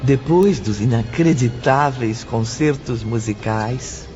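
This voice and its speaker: A man with a Brazilian accent reading scripted, florid narration for an audio drama in a measured, formal way. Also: medium pitch, warm tone, lightly acted. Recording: muffled lo-fi audio with music.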